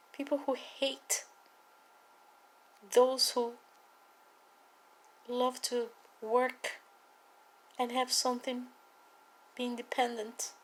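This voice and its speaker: A woman from Cuba with a Cuban accent, a native Spanish speaker, giving an earnest, emphatic personal testimony and political appeal with deliberate repetition. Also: high voice, slight background noise. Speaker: political activist speaking to viewers.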